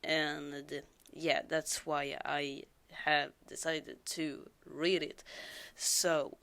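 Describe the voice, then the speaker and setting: A woman's voice: sits low, level tone, very slow, a bit bright. A woman with a sore throat, talking casually and hesitantly with many fillers before reading a scary story aloud.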